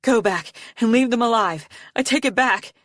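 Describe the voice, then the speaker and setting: A woman voicing a young male dragon prince, anguished and fierce, who shouts and pleads urgently. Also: mid-range voice, brisk, dry recording, studio quality, pitched above the performer's own voice, close to an everyday voice.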